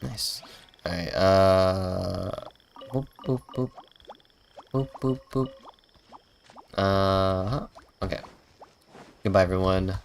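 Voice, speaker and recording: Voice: low-pitched, takes it slowly, gravelly, long pauses, slight background noise. A streamer giving casual, playful gameplay commentary, muttering along and making little sing-song sound effects. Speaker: game streamer.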